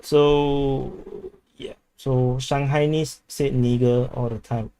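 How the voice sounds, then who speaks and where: A man's voice: medium pitch, little pitch movement, slow pace, dark tone, clean tone, poor audio. A man from Singapore with a Singaporean English accent, hosting a casual livestream, rambling with many restarts while reading chat aloud. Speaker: livestream host.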